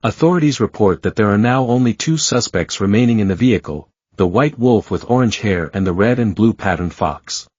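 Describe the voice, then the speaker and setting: A man reading a scripted emergency alert bulletin in a formal, even, measured way. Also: on the low side, dry recording. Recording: dull lo-fi dry broadcast audio.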